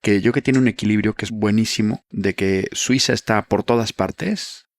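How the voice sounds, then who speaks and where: A man's voice: lowish voice, moderate pace, no echo. A man hosting a podcast interview, asking conversational, enthusiastic questions into a close studio mic. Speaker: podcast host.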